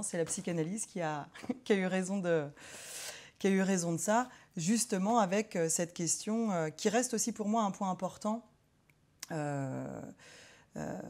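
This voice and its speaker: Soft-toned woman speaking with thoughtful hesitation. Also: lowish voice. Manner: hesitant, many pauses and fillers, thoughtful. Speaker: psychologist. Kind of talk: reflective personal interview.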